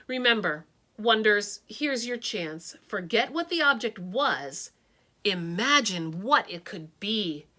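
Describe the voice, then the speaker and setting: Woman as a gentle encouraging teacher, bright, slow-spoken, storybook. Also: mid-range voice, animated.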